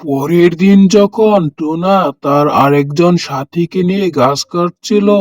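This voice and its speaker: A man's voice: fairly high pitch, a little mellow, no room sound. A man reading a scripted children's story as its narrator.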